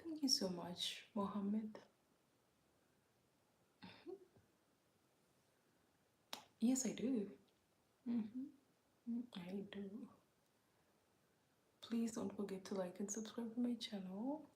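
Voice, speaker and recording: A woman from Uganda hosting a casual livestream, speaking softly and often near a whisper as she greets viewers by name and reads their comments. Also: medium pitch, takes it slowly, long pauses, echoey room. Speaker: livestream host.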